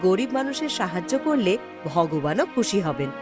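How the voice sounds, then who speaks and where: A woman's voice: fairly low pitch, sing-song, moderate pace. A woman playing a kind-hearted, sympathetic village wife in a fairy-tale cartoon, chatting in a homely way. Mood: pleased, sympathetic. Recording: background music throughout.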